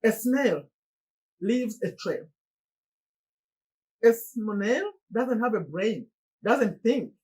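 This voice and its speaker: A middle-aged Ugandan woman speaks in a slightly husky, breathy voice that sits a touch high. She talks straight to her viewers in an earnest, pleading way. Now and then her voice jumps up in animated exclamations. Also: very slow, long pauses. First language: Luganda. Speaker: motivational YouTuber. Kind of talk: motivational monologue to camera.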